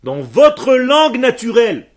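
A man's voice: extremely high pitch, big pitch swings. A man who grew up speaking Hebrew, teaching a class emphatically and firing questions at his students. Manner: emphatic, asks the room questions, answers students quickly. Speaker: religious teacher.

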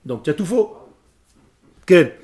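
A man from Israel, a Hebrew speaker, giving an emphatic, animated lecture to a group. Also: sits high, slow. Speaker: religious teacher.

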